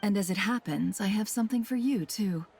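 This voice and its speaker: A woman's voice: mid-range voice, a little fast, a little mellow, slight background noise, natural voice. A woman voicing a composed, wry, teasing intel broker in a video game. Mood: smooth, teasing. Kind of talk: animated video game voice acting.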